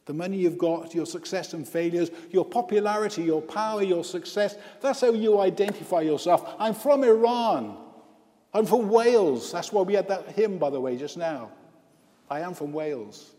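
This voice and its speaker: A male priest and hospital chaplain preaching a baptism sermon, slow and emphatic, with repeated lists. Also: a little high, mellow. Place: church with long reverb. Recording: reverberant church hall.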